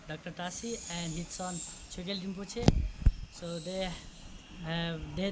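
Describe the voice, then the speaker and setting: A woman in her early twenties from Nepal with a Nepali accent, explaining things to visitors informally in halting, non-native English. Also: lowish voice. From Nepal, near the Indian border. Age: early 20s. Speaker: orphanage staff member. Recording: noisy amateur video sound.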